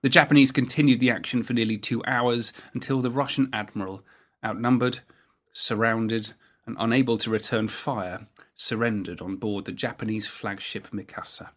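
A man's voice: mid-range voice, fairly even intonation, moderate pace, fairly bright tone. A man hosting a podcast, delivering scripted historical narration.

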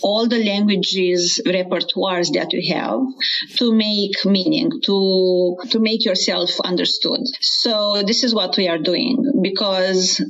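A woman from Romania talks in accented English, pausing and restarting as she finds her words. Her delivery is conversational and reflective. The recording sounds a little dull and lo-fi. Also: mid-range voice, steady tone, fairly bright tone. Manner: thoughtful, searching for words, frequent restarts. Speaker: interviewee. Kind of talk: conversational interview.

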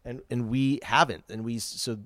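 A man's voice: medium pitch, fairly even intonation, moderate pace, a bit bright, no room sound. A man guesting on a theater chat, earnest and thinking aloud with many restarts. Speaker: guest, theater company producer. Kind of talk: casual chat. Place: dark outdoor hollow at a theater venue.

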